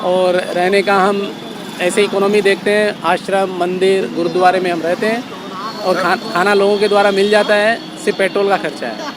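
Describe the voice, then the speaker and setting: A man's voice: high voice, steady tone, normal speed. A man from Jaipur, India, giving earnest, spontaneous interview answers and mixing in English words. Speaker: interviewee, touring social activist. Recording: clear traffic noise outdoors. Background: street and vehicle noise.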